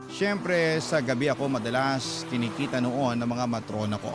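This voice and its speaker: A man's voice: mid-range voice, natural voice. An actor playing a young kept man who confides his story in a dramatic radio drama. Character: confessional young kept man supporting his poor mother. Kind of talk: dramatic first-person radio drama narration and acting. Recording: music bed behind voice.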